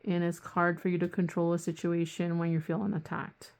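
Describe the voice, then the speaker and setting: Gravelly, rambling woman tarot reader. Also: fairly low pitch, flat delivery, a little fast, a little mellow. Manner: rambling, often restarts sentences; swears casually. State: losing her voice. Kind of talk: casual tarot reading to camera.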